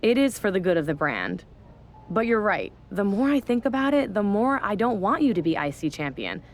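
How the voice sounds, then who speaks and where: A woman's voice: mid-range voice, big pitch swings, normal speed. A woman voicing a smug, scheming female wrestling general manager in a video game cutscene, talking down with sarcasm. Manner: condescending, sarcastic.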